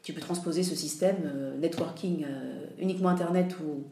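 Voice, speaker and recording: A woman's voice: deep voice, moderate pace, dark tone, slight background noise. A woman from France talking casually as a podcast guest, hesitating often with fillers and restarts. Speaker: interview guest. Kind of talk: casual interview conversation. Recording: roomy echo.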